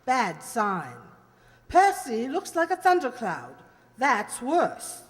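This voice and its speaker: A woman's voice: on the high side, wildly swooping pitch, slow, heavily acted. Radio mystery dowager type played by a woman: clipped, imperious, impatient, swooping intonation, roomy reverb. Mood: brusque, suspicious. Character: blunt, impatient, nosy aristocratic older lady. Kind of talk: radio play acting. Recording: echoey hall reverb.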